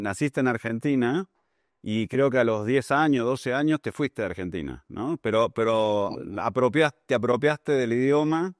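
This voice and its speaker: A man hosts a podcast interview in a mid-pitched voice. He is polite and conversational, setting out context and adding short tag questions. The recording is dry. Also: no echo.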